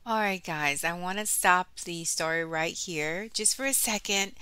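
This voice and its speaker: A woman's voice: lowish voice, pushed and bright, clear, resonant tone, dry recording. A woman reading a children's story and giving instructive asides straight to her young listeners. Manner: instructive, addresses listeners directly, checks for agreement.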